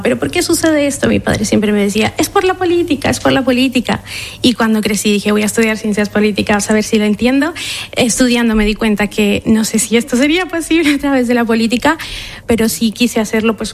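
A Colombian woman in her thirties, director of a shelter, answering interview questions earnestly with frequent restarts. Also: mid-range voice. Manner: earnest, measured, with repeated restarts.

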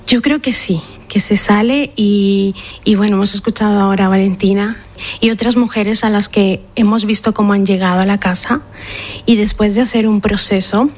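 Earnest Colombian woman, measured answers. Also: medium pitch, steady tone, a little mellow. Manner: earnest, measured, with repeated restarts. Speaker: director of a women's shelter, interview guest. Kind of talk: interview answers.

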